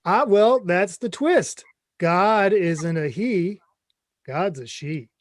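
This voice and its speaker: A man's voice: sits high, expressive, slow pace, no room sound, clean studio sound. A man playing a clueless, chummy middle-aged boyfriend in a comedy script read, earnest and a bit rambling. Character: Craig, mom's 55-year-old boyfriend, clueless, eager, chummy.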